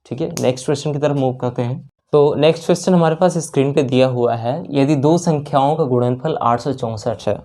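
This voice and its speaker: A man's voice: mid-range voice, a little fast, hardly any pauses, mellow. A man teaching an exam-prep maths lesson as a voice-over, working each sum aloud step by step and often checking in with his listeners. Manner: works sums aloud step by step, mixing English terms and numbers into the talk. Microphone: voice-over of a screen lesson.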